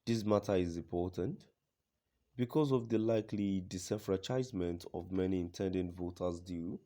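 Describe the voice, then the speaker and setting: Very low-pitched man reading news. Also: moderate pace, warm tone. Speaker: news reader.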